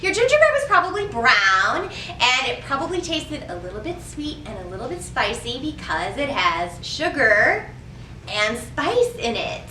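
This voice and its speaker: A woman's voice: sits high, very animated, unhurried. A woman hosting a library storytime, addressing young children with questions and prompts as she reads and shares rhymes. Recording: roomy echo, distant mic, faint noise.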